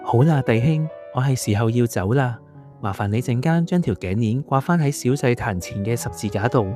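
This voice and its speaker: A man's voice: medium pitch, expressive, moderate pace, a little mellow, faint music behind, natural voice. A man with a Hong Kong Cantonese accent acting a kindly, wise male angel who mentors in a radio drama. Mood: calm, advising. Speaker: voice actor.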